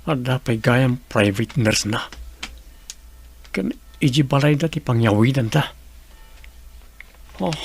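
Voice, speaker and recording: A man plays a watchful, brooding fellow muttering to himself in an audio drama. His medium-pitched voice has a gravelly creak, and faint noise with ticking sits behind it. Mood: brooding, suspicious.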